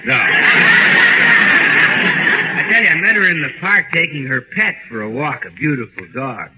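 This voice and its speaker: A man's voice: on the high side, sing-song, moderate pace, over loud music. A man playing a chummy, girl-crazy bachelor friend in a 1940s radio comedy. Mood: breezy, chummy. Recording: muffled old radio recording.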